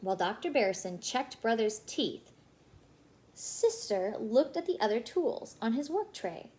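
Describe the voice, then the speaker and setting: A woman reading a children's story aloud in an expressive, animated way, pausing for emphasis. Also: on the high side.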